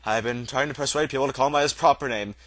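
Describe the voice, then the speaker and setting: Old wizard, acted by a male reader: calm, courteous, gently amused, unhurried storybook delivery, clear forward tone. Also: medium pitch, no echo, natural voice. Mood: patient.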